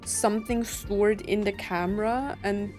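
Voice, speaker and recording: A woman streamer talks through a game story in a casual, slangy way, puzzling it out as she goes. Her pitch sits in the middle, and faint music plays behind her. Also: steady tone, measured pace, darkish tone. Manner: slangy, puzzled, thinking aloud. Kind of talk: casual let's play commentary. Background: faint game music and dialogue.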